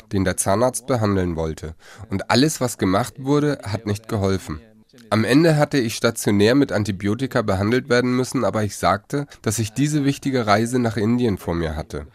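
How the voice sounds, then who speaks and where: A man giving a steady, measured voice-over translation of a personal story. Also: on the low side. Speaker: voice-over interpreter.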